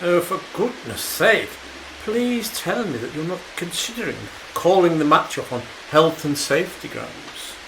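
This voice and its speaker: An actor playing a pushy, threatening television executive in a radio play, exasperated and emphatic. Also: male voice, a little high, animated, unhurried, background noise. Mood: exasperated menace. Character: pushy, menacing male television sports executive.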